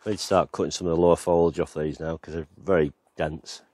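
A man's voice: low-pitched, steady tone, fast, darkish tone, no room sound. A man giving a casual, rambling tour of his allotment and back garden outdoors, with lots of fillers, filmed on a handheld camera. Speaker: gardening vlogger.

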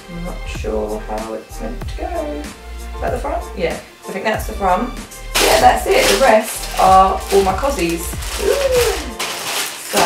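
A woman's voice: on the low side, creaky. A young British woman chatting casually and informally, thinking aloud as she vlogs. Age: young adult. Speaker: vlogger. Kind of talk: casual chatty haul vlog. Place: living rooms at home. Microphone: camera a few feet away. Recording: roomy, distant mic, music behind.

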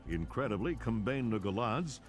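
A man's voice: lowish voice, lively intonation, moderate pace, lightly acted. A man playing a polished middle-aged TV news anchor in a video game, reading a scripted report in a formal, emphatic way. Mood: grave, formal. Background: music.

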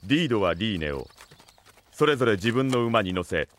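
A man narrating a fantasy audio drama in a slow, deliberate, storybook manner. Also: fairly low pitch, sing-song, fairly bright tone. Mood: calm, solemn. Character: calm, storybook male narrator of fantasy tale.

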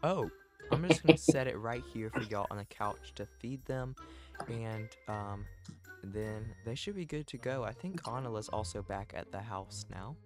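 A man's voice: on the low side, animated, normal speed. A man improvising a video game roleplay as host, chatting in an exclamatory, bantering way. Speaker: host playing a parent in a roleplay. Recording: background music throughout. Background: music.

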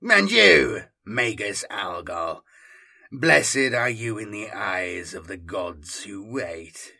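A huge, menacing warlord of dark gods, performed by a male narrator, speaks with grave command. The voice is husky and forceful, with slow, imperious delivery suited to a science fiction audiobook villain. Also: fairly low pitch, lively intonation, exaggerated voice.

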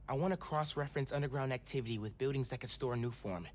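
An actor playing a kind, earnest young male superhero who talks softly. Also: medium pitch, fast talker, a little hiss or hum, lightly acted. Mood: grateful. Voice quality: soft-spoken, earnest.

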